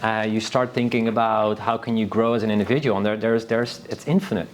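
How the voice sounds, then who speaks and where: A man's voice: on the low side, fairly even intonation, brisk, a little hiss or hum. A man from Northern Europe speaking as a panelist in an informal conference talk, enthusiastic and rambling, often restarting his sentences.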